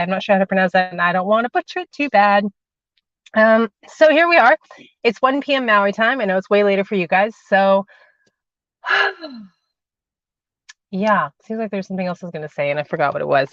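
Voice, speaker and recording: A woman speaks into her phone from the cramped cabin of a parked car, hosting a spiritual livestream. She chats easily, calling her viewers darling and sweetheart, and she leads a meditation while still getting over a cold, with a cough drop in her mouth. The sound is dull and lo-fi and very dry, with no room echo. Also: mid-range voice. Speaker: spiritual channeler and host. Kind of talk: casual livestream chat and guided meditation.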